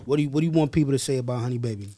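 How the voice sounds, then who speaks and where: A man's voice: medium pitch, rapid-fire, dark tone. A man co-hosting a casual interview in an easygoing, slangy way. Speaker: interview co-host. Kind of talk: casual chat.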